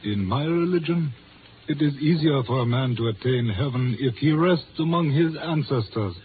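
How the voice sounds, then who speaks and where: An actor playing a grave older Chinese tong leader in a 1950s radio drama, speaking in formal, measured phrases. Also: male voice, medium pitch, lively intonation, close to an everyday voice. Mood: grave, reflective. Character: solemn Chinese elder, restaurateur and tong leader. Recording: muffled, noisy 1950s radio recording.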